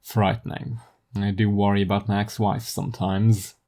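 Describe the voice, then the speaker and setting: Wry narrator with a dark, mellow tone. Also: low-pitched, level tone, normal speed. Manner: wry, literary. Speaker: narrator and storyteller. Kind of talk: scripted first-person fiction narration.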